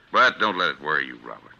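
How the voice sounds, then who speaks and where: A man's voice: rumbling low, vocal fry, sharp tone, heavily acted. An actor playing a fatherly, reassuring law office boss, middle-aged, with an American accent and an earnest manner, in 1940s radio style. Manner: earnest, avuncular. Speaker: defense attorney, head of a law office. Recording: muffled vintage radio recording.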